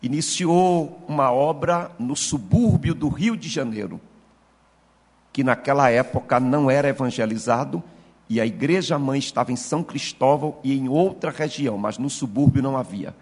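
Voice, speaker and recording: A man in his fifties, a pastor, giving a formal and ceremonious address at a church session that rises into fervent preaching, with a husky voice. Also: medium pitch, normal speed, a little mellow, in a large hall. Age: 50s.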